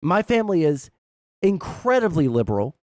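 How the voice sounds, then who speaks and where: Young american guy streaming, rambly n blunt, sweary, clean smooth voice, dry room sound. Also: fairly high pitch, sing-song, normal speed, a little mellow, no echo. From southern United States. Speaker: livestream host. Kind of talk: casual political commentary to stream chat.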